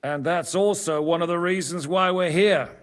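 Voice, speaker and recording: A reverberant stone-hall sound surrounds this blunt former bandit, played by an actor in a game cutscene. He is a wry, frank man with a voice pitched a little high. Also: moderate pace, natural voice. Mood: urgent. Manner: frank, sardonic. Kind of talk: dramatic video game cutscene acting.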